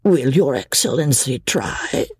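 A man voicing a cunning, greedy old male peddler in an audiobook, humble and deferential in his deliberate speech. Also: medium pitch, very animated, breathy edge, no echo, exaggerated voice. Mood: servile, sly. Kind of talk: audiobook character voice.

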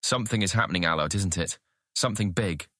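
An actor playing a curious, earnest young thief apprentice in a fantasy audiobook, with a questioning manner. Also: male voice, deep voice, quick pace, a bit bright, dry recording, clean studio sound. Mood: curious, uneasy. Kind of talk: fantasy audiobook character acting.

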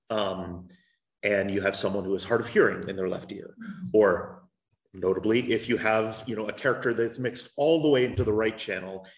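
A man talking through podcast production at a panel, with many fillers and restarts. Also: fairly low pitch. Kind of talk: panel discussion. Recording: roomy echo.

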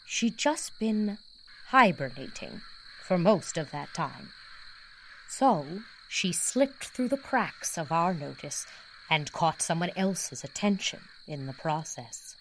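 A woman playing a reflective guide to ghosts, narrating an audio drama in a measured, earnest way. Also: on the low side, big pitch swings, slight background noise, exaggerated voice, low-quality recording.

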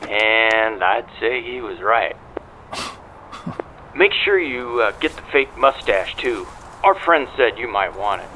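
Knowing club clerk, audio drama, very lively intonation, chirpy, halting asides, clear background traffic noise. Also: male voice, lowish voice, full character voice, poor audio. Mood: knowing, helpful. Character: chatty, conspiratorial coin-club ticket clerk, a man.

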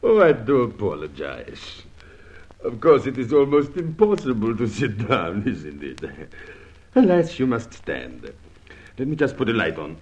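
An actor playing a suave, mock-courteous foreign villain in a radio drama, speaking formally and with polite menace. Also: male voice, mid-range voice, big pitch swings, takes it slowly, darkish tone, highs cut off. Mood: commanding, mocking. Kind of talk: old-time radio drama acting.

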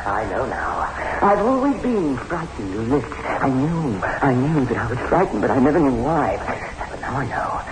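A man's voice: mid-range voice, sing-song, normal speed, lightly acted. An actor playing a frightened, defiant man raving in a lift, in melodramatic, repetitive old-time radio horror style. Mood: manic triumph. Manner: melodramatic, repeats himself frantically. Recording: noisy 1960s radio drama recording.

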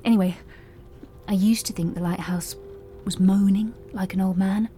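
An actress playing a nervous, apologetic lighthouse hostess who rambles in a flustered way in an audio drama. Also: female voice, mid-range voice, fairly even intonation, moderate pace, mellow, a little hiss or hum, natural voice. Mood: wistful, defensive.

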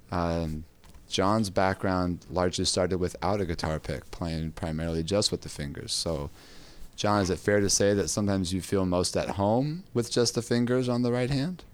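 A man hosting a casual podcast about guitar, his voice raspy from a cold, talking in a relaxed, rambling way with many fillers. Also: low-pitched, normal speed. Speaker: podcast host.

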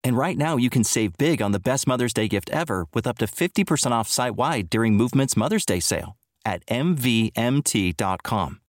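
Smooth, upbeat ad reader, animated delivery, dry booth sound. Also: male voice, medium pitch, fairly quick, no room sound. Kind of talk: scripted ad read.

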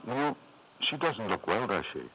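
An actor playing a powerful middle-aged tycoon in a radio drama, with halting, smooth-talking insistence. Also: male voice, fairly low pitch, big pitch swings. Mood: genial, brisk. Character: powerful, self-satisfied middle-aged British tycoon, hesitant in charm. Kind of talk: 1950s radio drama acting. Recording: dull, noisy 1950s radio recording.

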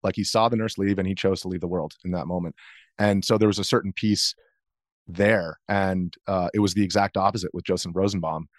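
American male interview guest, thirties. Lowish pitch, dry sound, measured and earnest, reasons aloud. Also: steady tone, no room sound. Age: 30s. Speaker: interview guest, video journalist. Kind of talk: reflective interview account.

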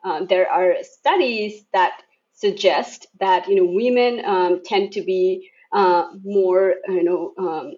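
A woman in her thirties, a podcast guest, speaks at a measured pace and keeps padding her answers with fillers and restarts. Her voice comes through a slightly dull, muffled recording. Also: lowish voice, fairly even intonation, a little mellow. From Bangladesh. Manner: hesitant, many fillers and restarts. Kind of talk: casual podcast interview.

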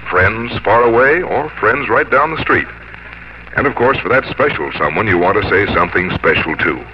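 Male American announcer, mid-pitched, polished and measured, selling on old-time radio with clear background noise. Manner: polished, measured sales delivery. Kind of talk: 1950s scripted radio commercial announcing. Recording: muffled, noisy old radio broadcast.

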